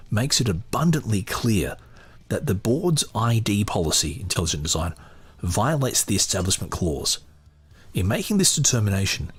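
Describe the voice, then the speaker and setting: A male podcast host with a fairly low, rough-edged husky voice. He reads aloud and adds his own commentary off the cuff. His delivery is opinionated and emphatic. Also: a bit bright.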